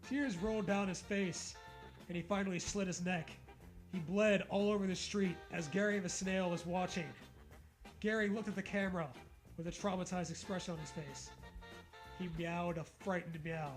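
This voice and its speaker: A man's voice: high voice, steady tone, normal speed, faint music behind, low-quality recording. A man co-hosting a comedic read-aloud of fan fiction, mock-serious, breaking off for joking asides. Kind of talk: comedic dramatic reading with asides.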